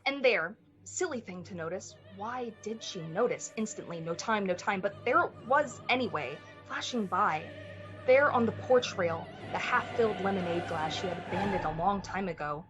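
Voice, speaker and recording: A woman's voice: mid-range voice, animated, moderate pace, a bit bright, close to an everyday voice. A woman playing the storyteller of a thriller, narrating intensely and with suspense in the manner of a 1940s radio play. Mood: tense. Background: music.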